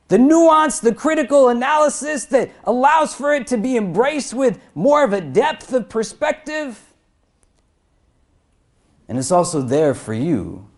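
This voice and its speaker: A man delivering an imam's sermon to a packed hall. His voice sits high and swings widely, swelling through lists and questions before dropping soft and low to land each thought. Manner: swells in pitch and volume on lists and rhetorical questions, then drops low. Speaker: imam. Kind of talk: Friday sermon. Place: crowded prayer hall.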